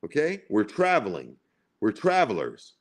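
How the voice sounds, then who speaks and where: A man's voice: lowish voice, huge pitch swings, a little slow, gravelly. A man in his forties, chatting casually on a livestream and rambling and repeating himself. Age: 40s. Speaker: livestream co-host.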